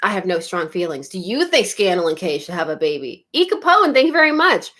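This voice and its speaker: A woman from Canada hosting a relaxed livestream from her home studio. She chats freely with her viewers, breaks into frequent giggles and wanders from one topic to the next in an easygoing way. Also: lowish voice, brisk. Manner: giggly and chatty, laughs often, drifts off topic. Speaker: YouTube livestream host. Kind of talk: casual livestream chat reading viewer comments.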